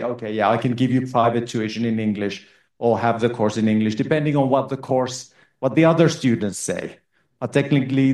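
A man's voice: medium pitch, darkish tone. A man on university staff answering student questions in a casual, chatty way, often restarting his sentences. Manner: loose and chatty, with frequent restarts. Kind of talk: casual Q&A session. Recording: echoey room.

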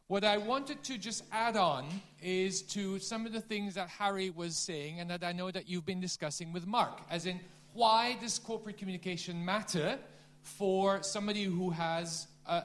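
A man with a Dutch accent, a business school dean giving a lively conference talk and quizzing the audience. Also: sits high, moderate pace. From Netherlands. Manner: lively, quizzes the audience, self-deprecating asides.

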